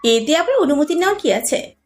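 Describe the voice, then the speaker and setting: Woman acting a high, lilting, encouraging mother. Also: very animated, brisk. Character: encouraging, easygoing mother of a grown son. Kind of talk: dramatised romantic audio story.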